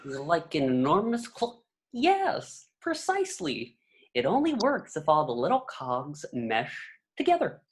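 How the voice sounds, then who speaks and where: A woman's voice: deep voice, wildly swooping pitch, measured pace, heavily acted. A woman playing a fussy, tyrannical middle-aged male office boss in a script read, clipped, pompous and condescending. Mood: pompous lecturing. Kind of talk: amateur script table read.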